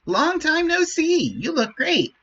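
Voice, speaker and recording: Someone voicing a bubbly, defiant teenage girl, swooping pitch. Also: big pitch swings, slow, fairly bright tone, muffled recording, exaggerated voice. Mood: bright, excited. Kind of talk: dramatic read-aloud of game dialogue.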